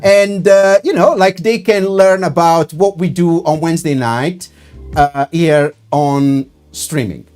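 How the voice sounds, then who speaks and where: A man with a clear Italian accent talks slowly and unhurriedly as he hosts a streamed wine tasting, peppering his speech with "uh" and "you know." Faint music plays softly behind him. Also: a little high. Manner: chatty, many "uh" fillers. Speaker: wine importer hosting a tasting. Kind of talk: live-streamed presentation.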